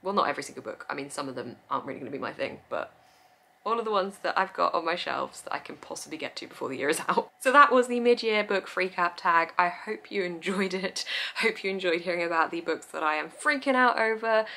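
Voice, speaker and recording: A young woman vlogging about books she loves, chatty and gushing, with frequent laughs and quick asides, filmed indoors in front of bookshelves. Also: on the low side, expressive, creaky, bright, forceful tone. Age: young adult. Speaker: book vlogger. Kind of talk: casual chat to camera.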